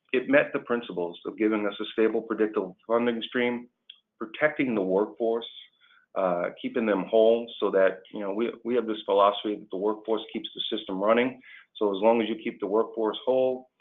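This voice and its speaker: A man speaking earnestly as a union leader guest on a policy webinar, repeating phrases for emphasis, over a tinny phone-like line. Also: on the low side. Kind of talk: prepared remarks and answers on a policy webinar.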